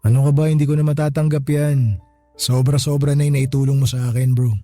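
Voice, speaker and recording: Man playing mellow, humbled older brother. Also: medium pitch, flat delivery, a little fast, close to an everyday voice. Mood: regretful, humbled. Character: humbled, grateful older brother, former tough guy. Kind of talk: radio drama dialogue, slangy and conversational. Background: background music.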